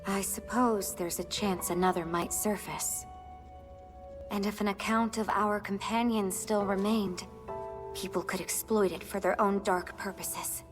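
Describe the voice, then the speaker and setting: A woman's voice: medium pitch, lightly acted. A woman voicing a devoted, earnest retainer in a video game cutscene, respectful in manner. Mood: somber. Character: devoted earnest female mural maker, loyal to her lady.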